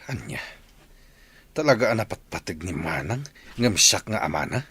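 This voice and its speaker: A man's voice: sits low, big pitch swings, normal speed, fairly bright tone, husky, exaggerated voice. A man with an Ilocano accent playing a resentful, possessive middle-aged father in a radio drama, grumbling and questioning. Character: resentful, possessive father who wants his daughter to stay.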